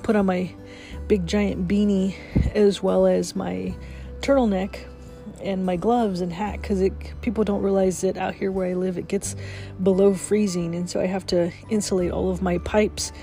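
A woman's voice: medium pitch, flat delivery, moderate pace, warm tone, soft background music. A woman hosting her morning podcast, sniffly from a cold, chatting cheerfully and laughing often. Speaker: podcast host. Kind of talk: casual morning chat.

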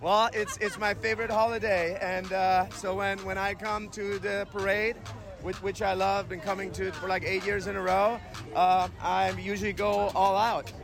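A man from Hungary, building a gym float, talking haltingly with many fillers outdoors at a parade. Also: sits high, level tone, creaky. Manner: hesitant, many fillers and restarts. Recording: music playing behind.

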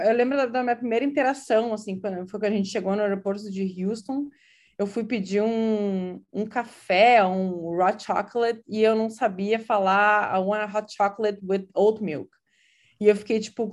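Hesitant gaúcha woman, slightly high voice. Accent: Gaúcho Brazilian Portuguese. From Brazil. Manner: hesitant, many fillers and restarts. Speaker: interviewee. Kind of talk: casual conversational account.

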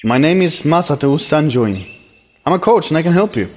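A man's voice: fairly high pitch, expressive, moderate pace, a little mellow, a little hiss or hum, natural voice. A man playing a haughty, scheming villain with mystical powers in an anime fan dub, theatrical and commanding. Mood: arrogant coaching. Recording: music behind the voice.

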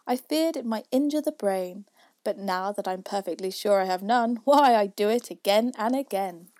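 A woman voicing a grey-haired old father in storybook verse, boastful, blustering and impatient, reciting loudly and emphatically. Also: medium pitch, moderate pace, no echo, close to an everyday voice.

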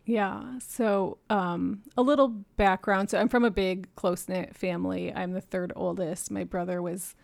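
Podcast guest, woman, 30s, gravelly voice, reflective storyteller who laughs often. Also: lowish voice, steady tone, unhurried, hardly any pauses, a little mellow. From Japan and New York City. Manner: earnest, reflective, laughs often between thoughts. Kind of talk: candid personal storytelling.

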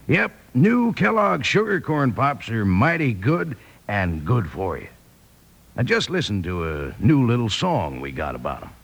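An American actor playing a cheerful old cowpoke with a raspy voice and drawling, hearty sales patter in a radio advertisement. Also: male voice, medium pitch, huge pitch swings, unhurried, a bit bright, full character voice. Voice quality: creaky, gravelly, raspy. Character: folksy old cowpoke cereal pitchman, cheerful.

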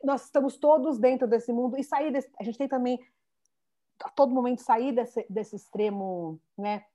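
A Brazilian-born woman in her thirties, telling stories with lots of restarts in a relaxed interview. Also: on the high side, moderate pace. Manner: animated storyteller, many restarts and "né". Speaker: founder of a Portuguese school for children. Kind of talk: conversational interview.

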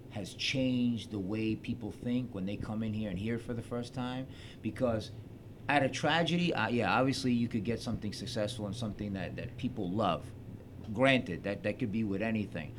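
A man's voice: lowish voice, moderate pace, noisy background. A man, a shop co-owner telling stories and acting out quoted dialogue in a casual interview. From Long Beach, New York. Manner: storytelling, acts out quoted dialogue.

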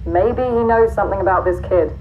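A woman playing a brisk, businesslike guard in a sci-fi audio drama, speaking in a clipped manner. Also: medium pitch, soft background music, lightly acted. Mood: brisk, urgent. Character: brisk, businesslike female guard reporting to her boss. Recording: narrow phone-like band, faint noise.

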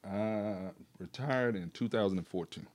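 A man, a retired PE teacher telling stories in a rambling, animated way and laughing often. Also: lowish voice, moderate pace. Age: 60s. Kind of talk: casual storytelling conversation.

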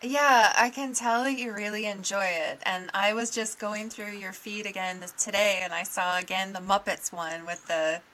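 Bright-voiced curious woman co-host. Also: medium pitch, steady tone, moderate pace, few pauses, rough recording. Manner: curious, chatty questions. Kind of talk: casual interview chat.

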